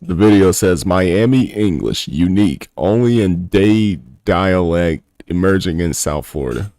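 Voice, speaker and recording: A man's voice: low voice, lively intonation, measured pace, dark tone. A man bantering casually like a streamer reacting to videos, blunt, teasing and slangy, with some swearing.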